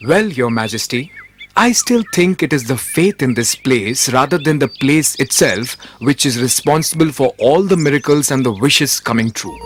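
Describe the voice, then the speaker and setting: An actor playing a shrewd royal advisor in an animated moral story, with a polite, measured and deferential manner. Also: male voice, mid-range voice, close to an everyday voice. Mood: respectfully firm.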